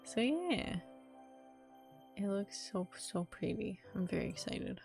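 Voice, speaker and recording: A woman game streamer with an informal, expressive manner, commenting casually and reading a visual novel's narration aloud. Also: mid-range voice, takes it slowly, creaky. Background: game music.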